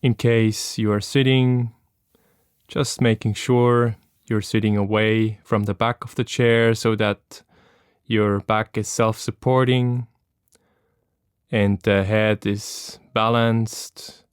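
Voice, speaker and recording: A man leading a guided meditation as an instructor, speaking calmly and gently with long, unhurried pauses. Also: lowish voice, clear, resonant tone, dry recording, studio quality.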